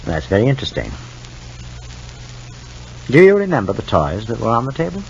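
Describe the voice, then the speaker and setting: An actor playing a stern, formal father in a 1950s radio soap, questioning in a measured, halting way. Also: mid-range voice, wildly swooping pitch, creaky. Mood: insistent. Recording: dull, noisy 1950s radio recording.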